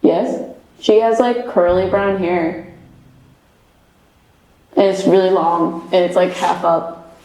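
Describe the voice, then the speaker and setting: A woman's voice: lowish voice, fairly even intonation, slow, pauses often, mellow. A young woman, a paranormal investigator, chatting casually and rambling with lots of fillers and giggles. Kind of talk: casual chat on a ghost hunt. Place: old basement room with echo. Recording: echoey basement room.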